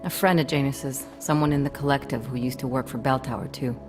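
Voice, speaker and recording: A wary, hardened female operative in a video game. Her voice is very low for a woman, with a wry, world-weary delivery. Soft music sits behind it. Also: steady tone, fairly quick. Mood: grave, confiding. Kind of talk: video game cutscene acting.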